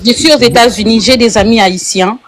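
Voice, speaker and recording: A woman's voice: on the high side, a little fast, no room sound. A woman from Congo asks questions in a live online conversation. She speaks in earnest and repeats words for emphasis. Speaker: interviewer.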